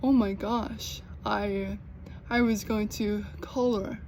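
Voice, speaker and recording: A young American woman who stutters, with repeated sounds and blocks, talking slowly and earnestly in a personal vlog. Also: mid-range voice, fairly even intonation, a little hiss or hum. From United States. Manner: slow, earnest, gently encouraging. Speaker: vlogger.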